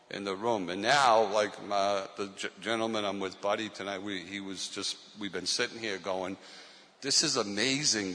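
Boston accent pastor guy, low voice but bright edge, echoey hall, earnest. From Boston, Massachusetts. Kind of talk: testimony in a panel talk.

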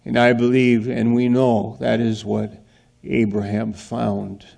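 Slow, gravelly male preacher. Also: medium pitch, flat delivery, darkish tone. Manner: earnest, asks rhetorical questions, acts out scenes. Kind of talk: sermon.

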